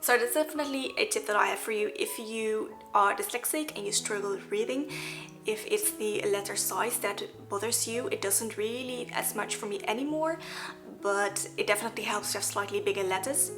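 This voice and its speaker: A young woman from the Netherlands, a native Dutch speaker with a Dutch accent, chatting earnestly to camera in a vlog with soft laughs. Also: medium pitch, a little fast. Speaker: vlogger. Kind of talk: casual talk to camera. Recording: background music.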